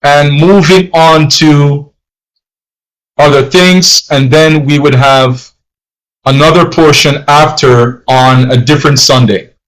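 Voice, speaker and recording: A man's voice: on the high side, steady tone, slow pace, fairly bright tone, poor audio. A Jamaican-born man hosting a language-learning livestream, talking in a loose, rambling way with fillers and repeats, in an accent he says is not his natural one. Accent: put-on non-Jamaican accent, not his natural one. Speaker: language teacher, livestream host. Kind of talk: casual livestream talk.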